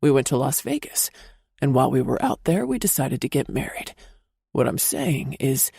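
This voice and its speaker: A woman narrator voicing a confident, playful adult man, the husband, in a low teasing drawl for an audiobook. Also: big pitch swings, moderate pace, gravelly, warm tone, slightly rough, dry recording, clean studio sound, heavily acted. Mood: warm, cheerful. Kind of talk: audiobook character voice.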